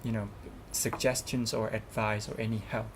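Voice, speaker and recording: A man's voice: fairly low pitch, little pitch movement, moderate pace, noisy background. Earnest young Thai-speaking man, rambling. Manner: earnest, rambling with many fillers and restarts. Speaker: international student representative. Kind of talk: student interview.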